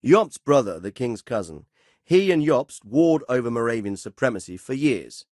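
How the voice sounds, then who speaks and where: A man playing a measured, fatherly but stern middle-aged medieval lord in a video game, speaking formally and with authority. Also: mid-range voice, sing-song, a little mellow, no room sound, studio quality, close to an everyday voice. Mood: measured, explaining. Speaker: lord giving orders and counsel. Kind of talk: dramatic video game dialogue.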